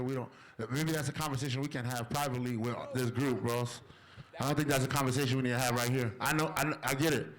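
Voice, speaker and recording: A man's voice: mid-range voice, poor audio. A man on a community panel, a father, talking in an emphatic, repetitive and direct way. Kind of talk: motivational talk at a panel.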